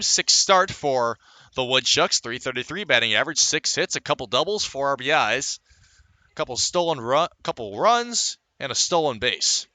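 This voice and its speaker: Bright, forceful male baseball announcer. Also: mid-range voice, dry recording. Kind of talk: live radio sports commentary.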